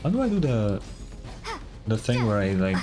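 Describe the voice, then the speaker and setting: A man's voice: lowish voice, sing-song, moderate pace, over music. A man doing casual live gameplay commentary as a streamer, talking in an informal, slangy, wry way. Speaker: game streamer.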